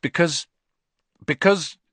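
A man's voice: on the high side, slow pace, dry recording, voice pushed up. A man voicing an anxious, defensive schoolboy wizard in an audiobook, protesting earnestly. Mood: nervous, defensive. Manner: loud, hesitant protesting. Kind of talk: audiobook character voice.

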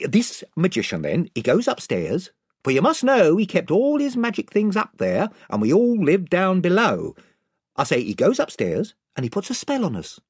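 A man's voice: high voice, huge pitch swings, normal speed, breathy edge, no echo, studio quality, voice pushed up, exaggerated voice. A man voicing a self-important, rambling chief of simple folk in a storybook, with a folksy manner. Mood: rambling, earnest. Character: pompous, rambling, rustic invisible chief, male.

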